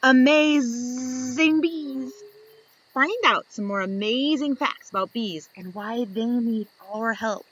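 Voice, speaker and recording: A woman reading a picture book aloud to young children, expressive and instructive, putting questions to her listeners and answering them. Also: a little high, slow pace, rough recording.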